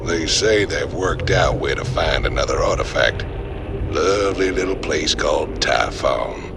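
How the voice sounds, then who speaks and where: An actor playing a gruff, sardonic middle-aged outlaw with a gravelly drawl in a video game. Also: male voice, rumbling low, measured pace, music in the background. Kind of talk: video game cutscene acting.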